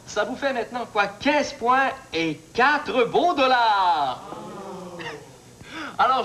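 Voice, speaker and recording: A man's voice: high-pitched, fairly bright tone, slight background noise. A man playing a cheerful, polite game show host, with a Quebec French accent and a polished, ceremonious delivery. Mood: amused, congratulating.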